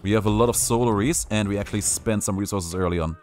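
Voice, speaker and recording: Young male streamer, lowish voice, jokey play-by-play over faint game music. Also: fast, a little mellow. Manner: playful, jokey, reads chat aloud. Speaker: gaming streamer. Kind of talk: live gameplay commentary.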